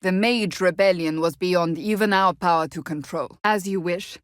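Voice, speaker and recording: An actress voicing a stern, devout warrior woman in a video game, with formal, deliberate and blunt, commanding delivery. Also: lowish voice, no echo, clean studio sound, close to an everyday voice. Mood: stern, resolute. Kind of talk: video game voice acting, dramatic dialogue.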